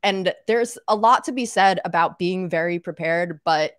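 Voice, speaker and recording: A woman's voice: fairly low pitch, moderate pace, smooth, no echo, clean studio sound. A woman in her thirties from Arkansas, chatting casually as a guest on a video-call interview, with lots of "like" and "you know". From Arkansas, United States. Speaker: podcast host and guest. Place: home room, indoors. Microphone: webcam on a video call.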